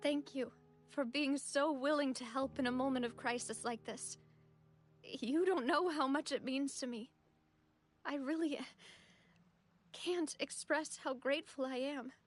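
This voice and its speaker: Music plays behind a high-pitched young noblewoman from a video game. She leads her people with grace and grief, speaking earnestly and haltingly, with solemn weight on her words. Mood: sorrowful, grateful. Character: grieving young noblewoman leader, gracious and earnest. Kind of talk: dramatic video game voice acting.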